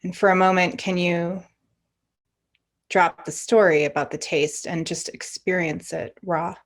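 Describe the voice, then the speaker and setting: A woman leading a guided meditation as a teacher, giving gentle, encouraging instructions with pauses. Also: fairly low pitch, steady tone.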